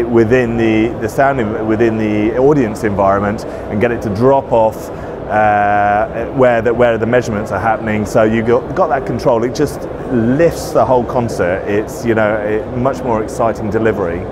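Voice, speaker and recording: Male executive, forties, halting but keen conversational interview delivery, clear exhibition noise behind him. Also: lowish voice. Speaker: managing director being interviewed. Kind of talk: conversational trade-show interview. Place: large indoor trade show floor. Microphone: lapel. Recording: noisy busy exhibition hall. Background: loud trade show ambience.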